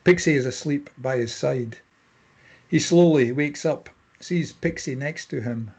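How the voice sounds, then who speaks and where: Husky male screenplay narrator. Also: medium pitch, a little slow, darkish tone. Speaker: narrator reading stage directions.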